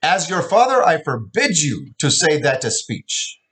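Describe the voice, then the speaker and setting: A man reading aloud and voicing a furious immigrant father who shouts at his daughter in broken English. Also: on the high side, big pitch swings, unhurried, pitched above the performer's own voice.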